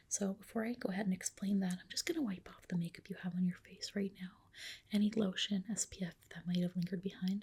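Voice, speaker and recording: A woman whispering softly and breathily in an ASMR roleplay as a spa skin specialist, gently explaining and reassuring. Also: medium pitch, breathy edge. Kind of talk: ASMR roleplay, personal attention.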